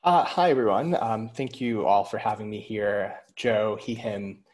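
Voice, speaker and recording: A young man wearing a headset on a video call, telling a story in a relaxed way. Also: fairly low pitch, a little slow. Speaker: panelist. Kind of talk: casual panel talk. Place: home room, indoors.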